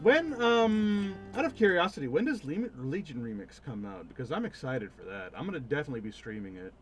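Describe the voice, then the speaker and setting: A man's voice: on the high side, very animated, a little fast, soft background music. A man chatting casually on a livestream while he plays a game. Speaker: game streamer.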